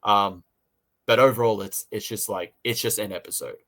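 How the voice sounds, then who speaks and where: A man from Australia co-hosting a casual review chat, talking in a halting way and often repeating words. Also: on the low side, fairly even intonation, bright, forceful tone. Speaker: podcast co-host and reviewer.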